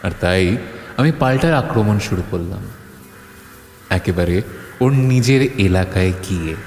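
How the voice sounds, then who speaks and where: A man playing a stubborn, imaginative horror writer in an audio story, deliberate and argumentative, with dramatic pauses. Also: deep voice, lively intonation, a little mellow. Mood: brooding narration. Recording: clear background ambience noise.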